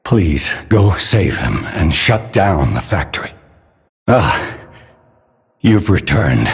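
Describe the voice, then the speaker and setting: An actor playing a doting, sorrowful elderly inventor father in a video game, speaking solemnly with a husky, breathy voice. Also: rumbling low, exaggerated voice. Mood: pleading, then cautionary, tender. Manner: slow, measured, solemn. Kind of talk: dramatic game character dialogue. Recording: long reverberant hall echo.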